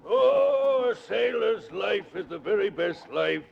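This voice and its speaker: A man acting a hearty, deferential charter boat captain with folksy sailor talk and a gravelly voice, in a 1940s radio drama. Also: sits high, lively intonation, slow, exaggerated voice. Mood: cheerful. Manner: folksy nautical dialect, hearty. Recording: old muffled noisy 1940s radio recording.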